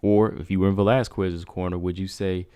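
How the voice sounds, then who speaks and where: Deep-voiced man hosting an MMA talk stream. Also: little pitch movement, a little fast, dark tone, smooth, no room sound. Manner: talks straight to viewers, asks rhetorical questions. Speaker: MMA talk show host. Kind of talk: casual livestream commentary.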